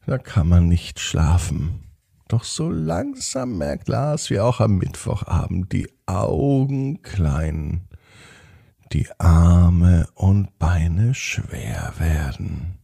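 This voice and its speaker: A man narrating a bedtime story for children in a gentle, unhurried way with dramatic pauses. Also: low voice, vocal fry, slightly rough, dry recording, clean studio sound.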